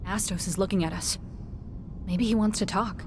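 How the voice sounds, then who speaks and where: An actress playing a curious, earnest young adventurer in a video game, expressive and inquisitive. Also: female voice, mid-range voice, normal speed, lightly acted. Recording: clear ambient noise behind voice.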